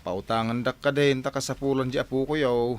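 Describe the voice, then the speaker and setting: A man's voice: mid-range voice, fairly even intonation, fairly quick, dry recording, natural voice. A man with an Ilocano Filipino accent playing a lazy, whining, easily startled husband and father in a radio drama, exclaiming, stammering and wheedling. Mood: pleading.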